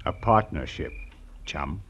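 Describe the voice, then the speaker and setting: A man's voice: low-pitched, animated, takes it slowly, fairly bright tone, exaggerated voice. An American man playing a cynical, scheming sleuth in a 1940s radio crime drama, with a needling, insinuating way of talking. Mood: smug, scheming. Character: sly, cynical, scheming male crooked sleuth. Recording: muffled, noisy old radio recording.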